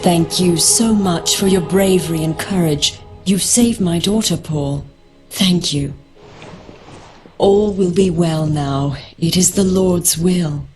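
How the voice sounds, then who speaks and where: A woman's voice: fairly low pitch, slow pace, a little mellow, soft background music. An actress playing a grateful, pious mother in a video game, speaking tenderly and solemnly. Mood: grateful, relieved. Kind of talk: video game cutscene acting.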